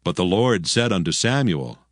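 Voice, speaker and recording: A man's voice: low voice, sing-song, normal speed, no room sound, clean studio sound. A man narrating a dramatized scripture reading in a solemn, formal style.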